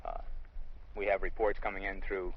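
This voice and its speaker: A man's voice: sits low, wildly swooping pitch, normal speed, creaky, slight background noise. An American man, an army lieutenant, explaining things matter-of-factly in an interview outdoors in the field. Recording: dull lo-fi vintage field recording.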